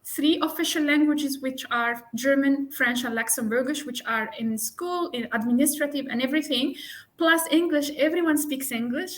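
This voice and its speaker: A woman from Tunisia, a native Arabic speaker, talks as a guest on an interview podcast. Her voice sits high, and she chats along freely with restarts and small laughs. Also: normal speed. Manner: chatty, frequent restarts and light laughs. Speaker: guest entrepreneur. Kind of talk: casual interview conversation.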